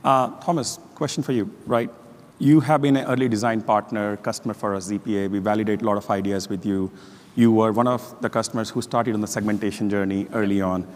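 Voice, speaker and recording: A man's voice: lowish voice, fairly even intonation, fast talker. A man moderating a conference panel, asking casual questions. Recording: reverberant hall.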